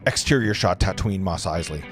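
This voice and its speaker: A man with a lowish voice narrates scene directions at an informal script reading. He reads plainly and sometimes trips over words and fixes them. Guitar music plays clearly behind him. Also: expressive, moderate pace. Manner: stumbles over words and corrects himself.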